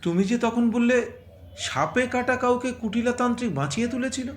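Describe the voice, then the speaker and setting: A man's voice: very high-pitched, expressive, moderate pace. A man acting a casual, curious young village son in an audio drama. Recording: roomy, noisy recording.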